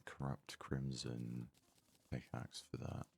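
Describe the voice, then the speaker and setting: A man giving soft-spoken ASMR game commentary in an unhurried, hesitant way, as if thinking aloud. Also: extremely low pitch, monotone, vocal fry, breathy edge. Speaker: gaming video host.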